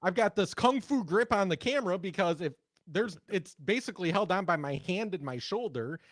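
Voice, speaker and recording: A man's voice: high-pitched, lively intonation, fairly quick, dry recording, clean studio sound. A man co-hosting a talk show, speaking casually and telling stories in a conversational way. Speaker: talk radio co-host, former TV news worker. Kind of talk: casual chat and storytelling.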